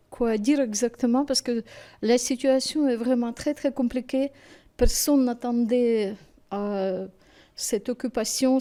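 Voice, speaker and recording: A tired woman with a Ukrainian accent and a native Russian speaker's French, her voice mellow and pitched a little high. She talks haltingly and emotionally, searching for words after sleepless nights. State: exhausted and sleepless. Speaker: studio guest. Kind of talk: television interview.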